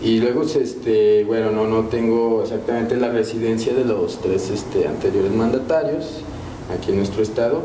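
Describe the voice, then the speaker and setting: A man's voice: lowish voice, normal speed, warm tone. A man, a panelist who answers hesitantly, with many fillers. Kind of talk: debate answer. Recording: echoey studio with faint noise.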